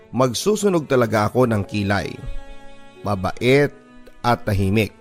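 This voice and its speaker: A Filipino man reads a personal letter as dramatic radio narration. He speaks in a measured, deliberate way at a medium pitch, and faint music plays behind him. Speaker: radio host reading a listener's letter. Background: background music.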